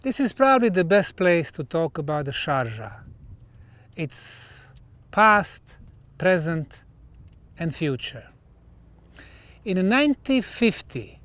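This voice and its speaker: Man, slow deliberate pace, fairly high pitch, short measured phrases, on-location documentary presenter. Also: a bit bright, clear, resonant tone. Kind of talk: documentary-style presentation to camera.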